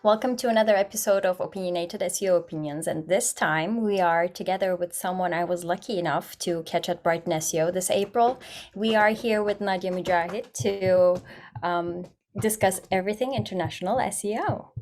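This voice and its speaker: A woman's voice: on the low side, fairly quick, a little mellow. A woman hosting a podcast, introducing and chatting in a casual conversational style. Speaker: podcast host.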